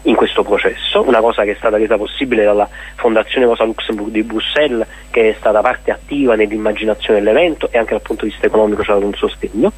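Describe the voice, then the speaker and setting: A man's voice: on the low side, fast, few pauses, slightly rough, poor audio. A man, an activist guest giving earnest, long, spontaneous explanations in a radio interview. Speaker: activist guest presenting an event.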